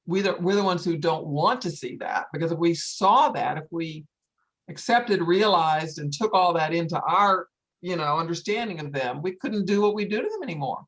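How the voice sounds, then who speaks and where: A woman's voice: fairly low pitch, animated, moderate pace, low-quality recording. An older woman speaking earnestly and informally to an audience, stressing her points by repeating phrases. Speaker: wildlife sanctuary founder hosting a story time.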